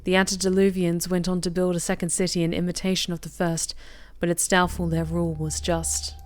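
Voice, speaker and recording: Lower-voiced woman reading a game aloud. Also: fairly quick. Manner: expressive, flips into bursts of excited loud commentary. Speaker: streamer reading a visual novel aloud. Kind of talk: game narration with live reactions.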